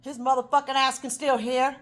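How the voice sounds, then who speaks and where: A woman ranting in a rambling, profane monologue, swinging between loud outbursts and low muttering. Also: flat delivery, brisk. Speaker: vlogger.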